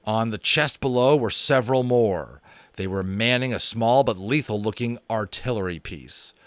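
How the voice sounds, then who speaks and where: An American man narrating a children's novel aloud in a dramatic, animated way, with chatty, self-amused asides. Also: lowish voice, moderate pace, no room sound. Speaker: audiobook reader and host. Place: home room.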